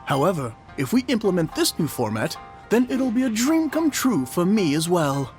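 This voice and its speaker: A man voicing a modest, grateful author in a video game, formal yet expressive. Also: sits high, normal speed, soft background music. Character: modest, grateful male author with pen name.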